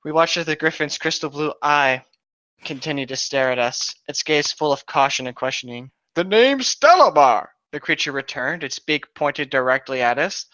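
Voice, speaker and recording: Bright forceful voice reading a story with chatty asides, dull dry mic. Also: medium pitch, fairly even intonation, normal speed, no room sound. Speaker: host reading fan fiction. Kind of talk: storybook narration with banter. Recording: slightly dull, muffled audio.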